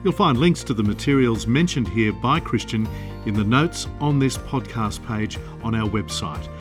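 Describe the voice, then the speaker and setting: Male podcast host, polite, scripted sign-off, mid-pitched voice, faint music underneath. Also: normal speed. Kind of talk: podcast interview and outro.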